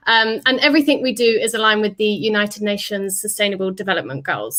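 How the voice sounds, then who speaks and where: A woman's voice: medium pitch, a bit bright. A woman hosting a livestreamed panel discussion, upbeat and chatty with frequent ums. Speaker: host and chief editor.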